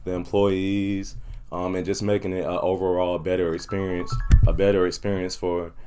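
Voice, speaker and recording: Low-voiced man chatting as an interview guest. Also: flat delivery, moderate pace, over music. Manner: talkative, repeats "you know". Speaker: podcast guest, entrepreneur. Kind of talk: casual conversational interview.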